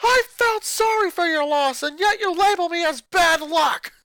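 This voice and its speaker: A man's voice: very high voice, measured pace, no echo, full character voice. A man voicing an angry eleven-year-old boy who rants and accuses his sisters in a fanfic reading. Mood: furious. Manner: ranting, accusing, voice rising to shouts.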